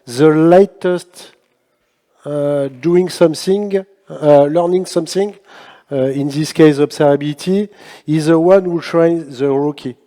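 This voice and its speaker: A man speaking on a conference panel, halting with many restarts and fillers. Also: a little high, warm tone. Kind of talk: unscripted panel answer. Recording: long reverb of a large hall.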